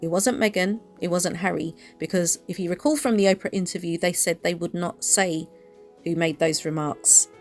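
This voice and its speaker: A woman hosting an opinion commentary, speaking in an emphatic, indignant and deliberate way. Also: on the low side, soft background music. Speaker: commentary channel host. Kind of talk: opinion commentary to camera or voice-over.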